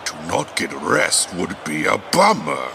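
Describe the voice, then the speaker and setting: Deep, gravelly, pompous dog poet. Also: male voice, huge pitch swings, measured pace, noisy background, full character voice. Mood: solemn reciting. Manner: grandiose, theatrical recitation. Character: pompous male dog fighter, self-styled poet. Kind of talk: audio drama acting.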